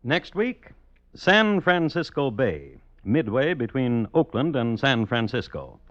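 An actor playing a dry, deadpan crime historian who hosts and narrates an old radio series with precise, formal wording. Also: male voice, mid-range voice, slow pace, no room sound, lo-fi sound. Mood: solemn, formal. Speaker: host and narrator. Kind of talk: 1950s radio scripted narration.

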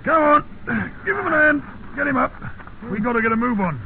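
An actor playing a brisk, no-nonsense army sergeant in a radio drama. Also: male voice, very high voice, animated, vocal fry, exaggerated voice. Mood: impatient. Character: brisk, impatient British army sergeant, dutiful to superiors. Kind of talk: 1950s radio acting. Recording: narrow, noisy 1950s radio broadcast.

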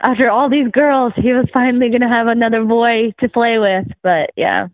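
Young woman from Russia, interview guest, relaxed and laughing, thin tinny sound. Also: fairly high pitch, moderate pace, dry recording. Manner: giggly, easygoing, chatty. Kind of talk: casual interview. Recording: dry, tinny phone-like line.

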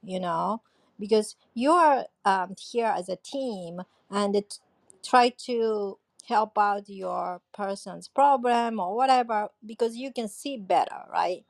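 A woman's voice: mid-range voice, slow pace, gravelly. A woman with an ordinary mid-range voice gives advice in a casual conversation. The sound is dry and close, with no room echo. She searches for her words and pads them with fillers. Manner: searching, hesitant phrasing with fillers.

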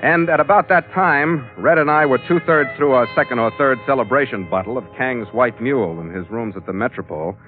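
Tough wry sea captain man acting, 40s radio adventure, mid-high-ish male voice not deep, narrow tinny sound. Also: brisk, few pauses, soft background music, lightly acted. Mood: vivid, storytelling. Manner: hard-boiled, wry. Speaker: lead actor and narrator. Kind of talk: 1940s radio adventure narration and dialogue. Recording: narrow old radio broadcast recording.

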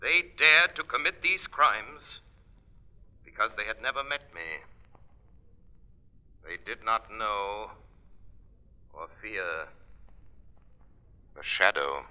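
A stern, slow-spoken mysterious avenger. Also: male voice, fairly low pitch, lively intonation. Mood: solemn, defiant. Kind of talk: 1930s-40s radio drama acting. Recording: muffled vintage radio recording.

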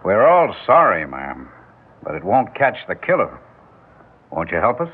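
On a tinny vintage radio recording, a hard-boiled male police sergeant. His voice is rough-edged and varies widely as he presses bluntly and curtly for answers. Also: low-pitched, huge pitch swings, a little slow, full character voice. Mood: pressing, stern. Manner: brusque, pressing. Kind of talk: old-time radio drama acting.

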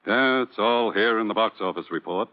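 An actor playing a sardonic Broadway producer, biting and theatrical, in an old-time radio crime drama. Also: male voice, lowish voice, moderate pace, close to an everyday voice. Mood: defensive, bitter. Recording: tinny, band-limited vintage radio recording.

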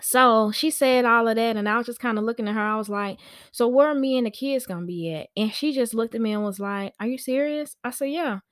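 A woman telling a personal story on a podcast in a chatty, animated, slangy way, acting out conversations as she goes. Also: fairly high pitch, a little fast, clear, resonant tone, no echo. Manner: informal slangy speech. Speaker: podcast host and nanny.

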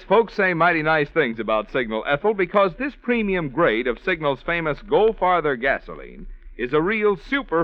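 Animated male radio ad announcer. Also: a little high, no room sound. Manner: emphatic, salesmanlike. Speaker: commercial announcer. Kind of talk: 1940s radio advertising read. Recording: dry, muffled old radio recording.

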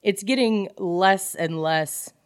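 A woman co-hosting a podcast, chatting casually and in an animated, geeky way about a book. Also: mid-range voice, takes it slowly, clean tone, no echo, clean studio sound. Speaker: podcast co-host. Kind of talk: casual book club chat, reading out quotes.

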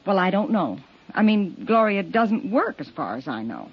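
A woman's voice: mid-range voice, sing-song, normal speed, slightly rough. An actress playing a shaken, kindly, flustered apartment manager in 1950s radio drama, hesitant in her answers. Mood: flustered, defensive. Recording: dull 1950s radio recording.

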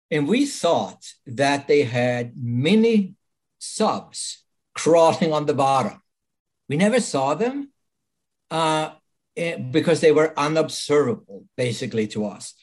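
An older man from Sweden with a Swedish accent, answering interview questions thoughtfully with frequent pauses and restarts. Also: medium pitch, darkish tone, breathy edge. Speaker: guest.

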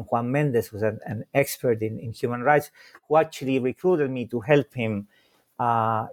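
Guest scholar, male, English, Spanish, reflective and mid-pitched. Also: a little mellow. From Chile. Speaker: political science scholar, interview guest. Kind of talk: personal storytelling in an interview.

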